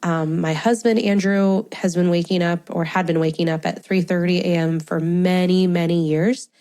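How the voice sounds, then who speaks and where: A woman's voice: lowish voice, steady tone, normal speed, warm tone. A woman in her thirties hosting a solo podcast, talking in a chatty, friendly way with a congested, stuffy voice and frequent laughs. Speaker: podcast host.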